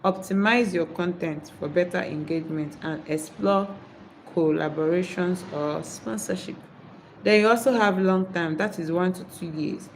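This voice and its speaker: A woman's voice: fairly low pitch, normal speed, slight background noise, low-quality recording. A woman from Nigeria with a Nigerian accent, English not her first language, hosting a live video of channel tips, reading points aloud and then explaining them. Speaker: YouTube creator. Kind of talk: live-stream tips talk.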